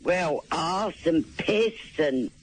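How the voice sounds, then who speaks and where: A woman's voice: on the low side, lively intonation, drawn-out words. A woman, bluntly scolding in a colloquial, taped phone conversation. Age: 70s. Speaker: mother of the host. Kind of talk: casual private phone conversation. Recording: noisy taped phone call.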